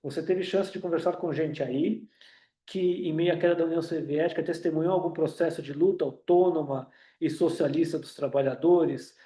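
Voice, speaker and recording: A man's voice: on the high side, steady tone, moderate pace, dark tone, reverberant. A Brazilian man speaking on an online video call, with a Brazilian Portuguese accent, giving a talk full of fillers and restarts. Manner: hesitant, many fillers and restarts. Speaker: union director speaking on a webinar. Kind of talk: online talk with audience questions. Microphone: computer mic on a video call.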